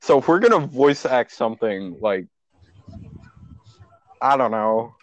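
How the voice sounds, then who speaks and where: A man's voice: mid-range voice, animated, a little slow, no echo, rough recording. A man in a casual online group chat who sounds exasperated and tired, keeps trying to get everyone back on track, and often sighs "Oh, Jesus.". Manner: exasperated, weary, tries to steer the talk. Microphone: computer mic on a voice call.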